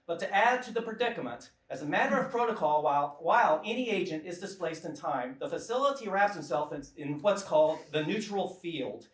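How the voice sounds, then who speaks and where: Higher-pitched man presenting in an echoey theatre. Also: lively intonation, brisk, hardly any pauses. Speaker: presenter and narrator of a live screenplay table read. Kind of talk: introducing a script to a live audience. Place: small live theatre stage. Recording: echoey theatre from a distance, noisy.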